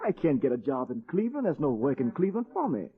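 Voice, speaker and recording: An actor playing an earnest, hesitant eighteen-year-old dreamer, pleading and stammering, in 1940s radio drama style. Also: male voice, medium pitch, sing-song, quick pace. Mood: pleading, torn. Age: young adult. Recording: thin, tinny old-time radio recording.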